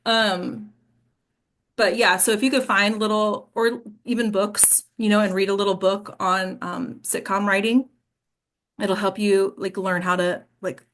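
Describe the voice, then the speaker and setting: A woman's voice: mid-range voice, level tone, unhurried, a bit bright. A woman teaching a class as an acting coach, explaining informally and often checking in with the listeners. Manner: explanatory, checks in with "okay?" and "right?".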